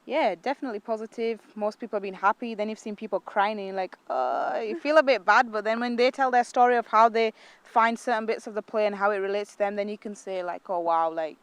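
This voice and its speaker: A woman's voice: medium pitch, fairly even intonation, fast talker, few pauses, clean tone, dry recording. A woman of twenty-four from Bradford, talking hesitantly in an interview with many fillers. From Bradford, England. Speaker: interviewee, stage performer. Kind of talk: casual interview chat.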